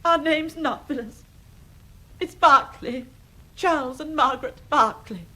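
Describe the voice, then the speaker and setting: A woman's voice: high-pitched, unhurried, bright, forceful tone, rough recording. A woman playing a distraught wife in a radio drama, tearful, stammering and pleading. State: tearful, close to crying. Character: distraught wife begging help for her husband.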